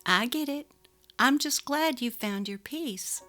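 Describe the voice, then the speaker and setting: A woman's voice: sits high, animated, slow, pushed and bright, pitched above the performer's own voice, heavily acted. A woman voicing an affectionate, familiar adult sister in a dramatically read story. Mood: sympathetic. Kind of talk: dramatic reading of a text-message story. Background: music.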